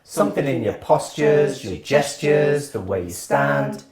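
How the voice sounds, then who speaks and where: Lively, musing man hosting. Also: mid-range voice, unhurried, over a noisy crowd. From England. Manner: musing, questioning, breaks into laughs. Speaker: host. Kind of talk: scripted reflective talk to camera. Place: home kitchen.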